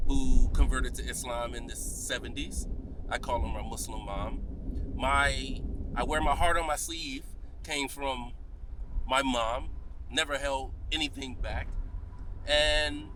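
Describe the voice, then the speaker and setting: An American man chatting casually and telling stories in animated, slangy speech. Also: mid-range voice, slow pace, pauses often. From United States. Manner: animated, slangy, acts out quotes. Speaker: vlog co-host. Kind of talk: casual chat and storytelling. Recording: car interior with road noise.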